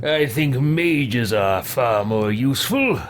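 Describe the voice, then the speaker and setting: An actor playing a measured, musing, calculating adventurer in a fantasy video game. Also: male voice, mid-range voice, animated. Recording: clear background noise.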